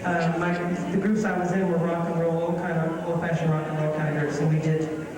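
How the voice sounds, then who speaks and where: Brief: high-voiced man in his forties fielding fan questions, loose and jokey, heard from far off in an echoey hall with a loud crowd. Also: level tone, a little fast. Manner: joking, rambling with restarts. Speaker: band member answering fan questions. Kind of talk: casual stage Q&A. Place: convention hall stage with audience.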